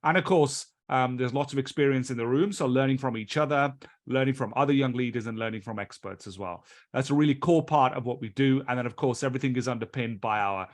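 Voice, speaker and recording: A man's voice: medium pitch, quick pace. A British man hosting and teaching a live online training session over a video call, speaking in a chatty, loose way that often restarts its phrases.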